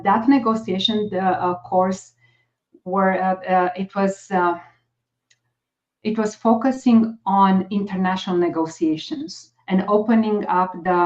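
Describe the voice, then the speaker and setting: A woman's voice: lowish voice, a little mellow. A middle-aged woman with a Romanian accent, a guest coach talking casually and hesitantly, with many fillers and restarts. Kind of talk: casual interview talk. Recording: dull lo-fi audio.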